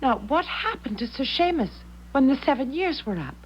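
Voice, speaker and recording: A woman's voice: sits high, sing-song, normal speed, lo-fi sound, a little hiss or hum. A woman playing an earnest, insistent young daughter in a 1970s radio drama. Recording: band-limited 1970s radio broadcast with music.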